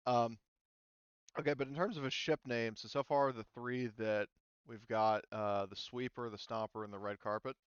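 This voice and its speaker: Male game master, casual and sweary, clear resonant voice, dry and slightly dull voice-chat sound. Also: medium pitch, steady tone, moderate pace, no room sound. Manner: casual, profane. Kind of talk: casual tabletop game session talk.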